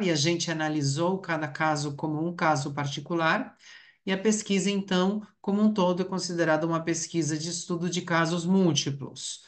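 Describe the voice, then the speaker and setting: A man speaks as an academic researcher at a conference. His delivery is formal and explanatory. He hesitates often as he sets out each point. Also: fairly high pitch. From Uruguay.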